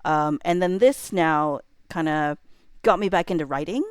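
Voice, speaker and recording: A woman's voice: low voice, moderate pace, clear, resonant tone, no echo, studio quality. A woman, perhaps in her thirties, chatting casually on a livestream in a rambling, self-deprecating way with informal slang.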